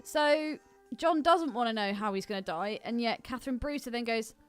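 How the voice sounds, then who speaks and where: A woman's voice: fairly high pitch, normal speed, clean tone. A woman co-hosting a podcast in casual, joking film chat, irreverent and teasing, happy to swear, with soundtrack music behind her. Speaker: podcast co-host. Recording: music playing behind the talk.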